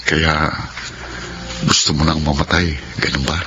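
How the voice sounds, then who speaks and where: A man playing an earnest, devoted suitor with a measured, sincere delivery in a Filipino radio drama. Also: very deep voice, flat delivery, pushed and bright, highs cut off, a little hiss or hum, heavily acted. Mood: tender, earnest. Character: earnest devoted man confessing long-hidden love to his partner.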